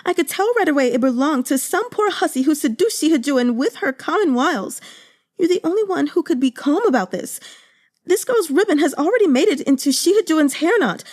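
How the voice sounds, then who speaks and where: A woman voicing an indignant, gossipy young maidservant who talks in rapid, stammering outbursts. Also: very high-pitched, no room sound, studio quality, pitched above the performer's own voice.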